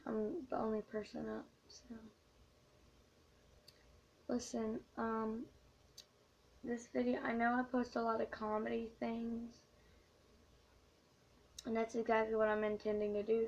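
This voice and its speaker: Hushed hoarse teen girl, flat delivery. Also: fairly high pitch, lo-fi sound. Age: teens. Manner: hushed, halting, many fillers. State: hoarse and quiet. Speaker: YouTuber. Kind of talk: late-night confessional vlog.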